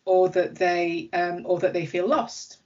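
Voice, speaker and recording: A woman's voice: lowish voice, level tone, a little slow, a little mellow. A woman's voice, late thirties, explaining things with some hesitation in an interview. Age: 30s. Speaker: interviewee, university staff member. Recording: muffled lo-fi sound.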